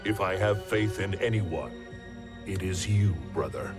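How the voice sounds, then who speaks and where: An actor playing a grave, loyal thunder god in a video game, speaking solemnly. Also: male voice, sits low, expressive, unhurried. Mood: solemn devotion. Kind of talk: dramatic video game voice acting. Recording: loud music over the voice.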